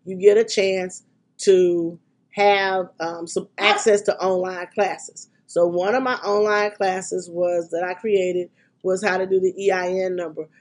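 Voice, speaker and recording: A woman's voice: fairly low pitch, a little slow. Congested, chatty woman podcast host. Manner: chatty and excitable, with frequent ums. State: congested from a cold. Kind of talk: casual podcast chat.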